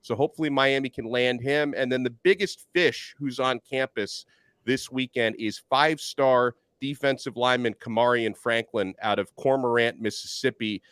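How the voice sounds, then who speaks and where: A man in his thirties hosting a sports podcast over a video call, giving an energetic, rapid rundown of recruiting news. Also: medium pitch, clear, resonant tone, no echo. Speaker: podcast host. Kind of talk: casual sports podcast chat. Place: home studio, video call. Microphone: desk microphone.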